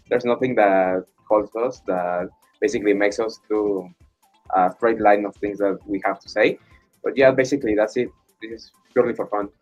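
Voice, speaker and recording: A man's voice: fairly low pitch, steady tone, normal speed, darkish tone, faint music behind. Laid-back man with a dull sound. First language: Spanish. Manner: laid-back, laughs often, slangy. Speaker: student co-host. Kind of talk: casual radio chat.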